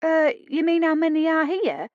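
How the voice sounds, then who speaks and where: A woman's voice: very high voice, expressive, moderate pace, a little mellow, no room sound, voice pushed up. A woman voicing a frightened young housemaid who stammers timidly. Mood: nervous. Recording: dull lo-fi audio.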